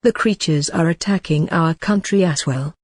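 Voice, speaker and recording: A synthetic woman's voice reading an emergency broadcast and a long list of countries in a flat way. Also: low-pitched, normal speed, breathy edge, dry recording, clean studio sound.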